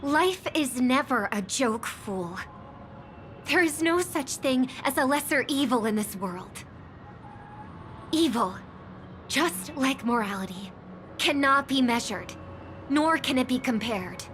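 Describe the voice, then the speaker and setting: Solemn, high-voiced young heroine. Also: female voice, lively intonation, fairly bright tone, slight background noise, exaggerated voice. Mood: resolute. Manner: earnest, measured, resolute. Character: solemn, principled young sister, guardian of harmony. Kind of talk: video game character acting. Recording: music under the voice. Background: music.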